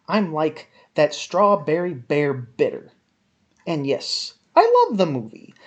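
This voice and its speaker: A woman giving a theatrical dramatic reading of a written review in an overwrought, melodramatic manner with drawn-out emphasis. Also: sits low, darkish tone. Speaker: reader of a written film review.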